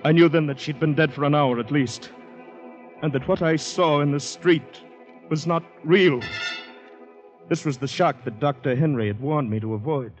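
An actor playing a distraught, haunted man in a 1940s radio drama, telling his story in breathless, halting dramatic phrases. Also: fairly high pitch, soft background music, lightly acted. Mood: shaken. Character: distraught haunted man recounting finding a murdered woman. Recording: muffled old radio broadcast recording.

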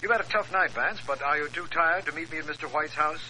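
Fast, high-pitched, urgent lawman. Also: bright, forceful tone, poor audio. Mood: urgent, businesslike. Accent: American. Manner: insistent, clipped, official. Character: urgent, businesslike male law official. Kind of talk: 1940s radio detective drama acting. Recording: vintage 1940s radio recording, faint noise.

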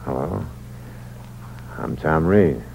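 An actor playing a cold-blooded, cynical killer in a 1940s American radio thriller, terse and slangy. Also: male voice, a bass voice, slow, creaky, darkish tone, exaggerated voice. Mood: wary, smooth. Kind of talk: 1940s radio thriller acting, first-person hardboiled narration. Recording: muffled old radio recording with hum and hiss.

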